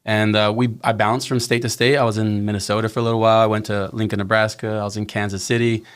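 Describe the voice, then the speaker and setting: Fast-talking man from Mexico, lowish voice. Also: flat delivery, smooth. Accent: slight Mexican Spanish. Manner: rambling with restarts and repeated words. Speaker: guest, construction company owner. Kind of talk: casual interview.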